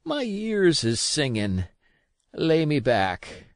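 A man voicing a weak, feverish old sea captain who curses and pleads in rough sailor talk. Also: fairly high pitch, huge pitch swings, takes it slowly, no echo, studio quality, pitched above the performer's own voice, heavily acted. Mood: faint. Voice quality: feeble, wheedling.

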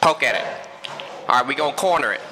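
A man performing comedic animal voiceovers in an exaggerated, slangy, expressive way. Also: medium pitch, fast talker.

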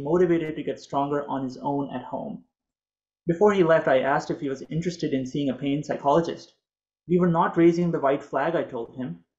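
A man in his thirties whose first language is Urdu, reading a prepared talk in a measured, earnest way over a video call from a home office. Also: a little high, a little mellow, highs cut off. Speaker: physician presenter.

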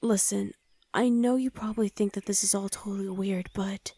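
A woman playing a flustered, gentle young demon hell knight in an intimate ASMR roleplay, hesitant and soothing, often slipping into a breathy whisper. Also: medium pitch, steady tone, normal speed. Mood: reassuring, hesitant.

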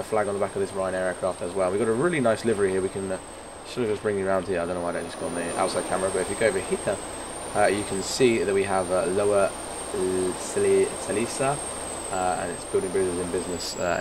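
A man with a hoarse, croaky voice giving casual, rambling commentary on a flight simulator game, full of fillers and restarts. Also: low-pitched, background noise. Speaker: flight sim streamer.

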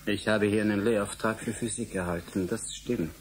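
An actor playing a polite, evasive academic official in a German radio drama, formal and measured. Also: male voice, lowish voice, steady tone, a little hiss or hum, lightly acted. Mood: reserved, polite.

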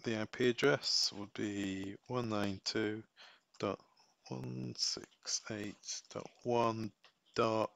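A man giving a casual step-by-step software tutorial, thinking aloud as he works. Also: lowish voice, steady tone, takes it slowly, vocal fry, a little hiss or hum.

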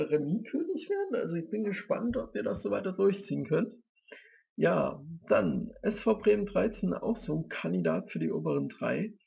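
A man's voice: sits high, vocal fry, dark tone, slightly rough. A man giving chatty, rambling match-day commentary on an online football manager league, stumbling over team names.

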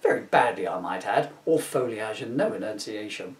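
A man's voice: lowish voice, lively intonation, normal speed, reverberant, far from the mic, voice pushed down. A man voicing an eager, indignant female TV news reporter in a comic storybook reading, earnest and chatty.

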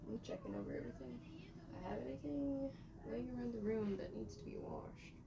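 Chatty young woman with a gravelly voice. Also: fairly high pitch, wildly swooping pitch, brisk. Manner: rambling, chatty, talking to herself while doing laundry. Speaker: vlogger. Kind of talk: casual vlog narration. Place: small bedroom, indoors. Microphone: camera mic at a distance. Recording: noisy room recording from a camera mic.

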